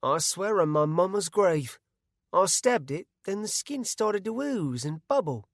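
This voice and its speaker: A small, fretful villager who stammers through his story in broken country grammar, put on by a man. The voice sits higher than the reader's own, with a thin, oddly colored timbre. Read dry and close. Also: lively intonation, measured pace, dry recording. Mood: earnest.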